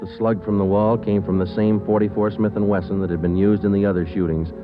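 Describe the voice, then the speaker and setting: An actor playing a calm police sergeant who narrates the case in a flat, clipped, matter-of-fact way, in 1940s radio drama. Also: male voice, lowish voice, quick pace, natural voice. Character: terse male police sergeant, calm and methodical. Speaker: narrator and detective. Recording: muffled 1940s radio broadcast with music.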